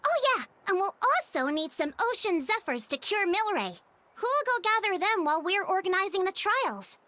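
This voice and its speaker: A woman's voice: very high-pitched, big pitch swings, moderate pace, bright, forceful tone, full character voice. An actress playing an enthusiastic, friendly young female warrior in a video game, eager and informal. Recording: music behind voice.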